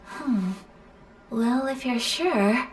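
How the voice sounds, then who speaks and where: An actress playing a refined, gentle young noblewoman in a video game, speaking in a formal, courteous and measured manner. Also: on the high side, a little hiss or hum. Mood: polite, earnest. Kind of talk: video game dialogue acting. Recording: soft game music behind voice.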